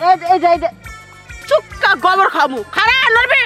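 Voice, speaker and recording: A woman voicing a hot-tempered cartoon mother, shrill and berating, in colloquial Bangladeshi speech. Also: expressive, normal speed. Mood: scolding, furious. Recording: loud music bed behind voice.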